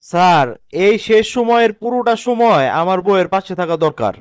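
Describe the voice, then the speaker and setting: A man as an earnest, high-voiced young husband. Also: expressive, brisk, smooth, no room sound, studio quality. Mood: earnest, pleading. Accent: Bangladeshi colloquial. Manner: earnest, reasoning, lecturing. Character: earnest, protective young husband, firmly principled. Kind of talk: cartoon drama dialogue.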